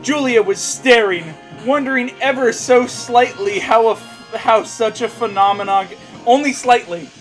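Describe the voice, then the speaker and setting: Young dude mockingly reading stuff out, kinda high voice, sweary, game music behind him. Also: male voice, unhurried, poor audio. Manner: sarcastic, sweary, breaks off to joke. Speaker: co-host reading fan fiction aloud. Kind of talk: casual mocking read-aloud and commentary over a game.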